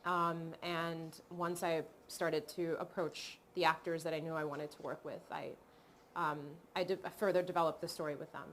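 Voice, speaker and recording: A woman from Cameroon, a film director, talking reflectively in an interview with many pauses and restarts. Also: deep voice, flat delivery, slight background noise. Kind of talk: video call interview.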